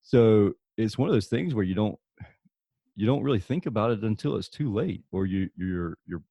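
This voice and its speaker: A man's voice: fairly low pitch, lively intonation, normal speed, gravelly, warm tone, no echo, dull audio. A man hosting a podcast interview, easygoing and chatty, often agreeing with his guest. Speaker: podcast host. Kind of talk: casual interview conversation.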